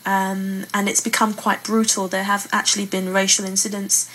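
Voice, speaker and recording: A woman's voice: medium pitch, little pitch movement, moderate pace, fairly bright tone. A British woman, a playwright and poet, speaking earnestly as an interview guest. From Britain. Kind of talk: earnest interview talk with read-aloud passages. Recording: clearly noisy room ambience.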